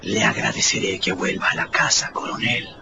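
An actor playing a cold, menacing invisible man in a radio drama, speaking in a breathy, whispery rasp with deliberate threat. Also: heavy background noise, lightly acted. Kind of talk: Spanish-language radio drama acting. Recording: dull sound with music underneath.

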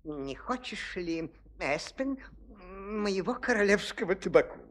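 A man's voice: sits high, expressive, measured pace. An actor playing a vain, pompous fairy-tale king in a children's audio play, blustering and coaxing by turns. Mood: coaxing, sly.

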